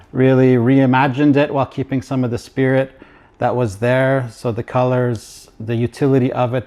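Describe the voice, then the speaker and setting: A man from Ottawa co-hosts a live podcast in a calm, considered manner. His voice is at a middling pitch for a man, and he lets his thoughts unfold slowly, with a few fillers along the way. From Ottawa, Canada. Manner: measured and reflective, with fillers. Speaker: podcast co-host. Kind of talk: casual live podcast chat.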